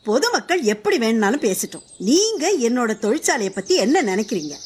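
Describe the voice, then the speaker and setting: A woman's voice: on the high side, big pitch swings, a little fast, a little mellow, a little hiss or hum. A woman playing a proud, touchy young factory owner in a scripted drama, speaking emphatically and formally. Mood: indignant, offended. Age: young adult.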